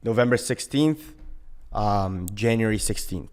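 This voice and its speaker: A man's voice: fairly low pitch, a little slow, clean tone. A man from Korea who later moved to Canada, talking casually and emphatically as a podcast guest, often checking in with a tagged-on question. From born in Korea, later moved to Canada. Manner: emphatic, often tags sentences with "right?". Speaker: personal trainer and boxing coach, podcast guest.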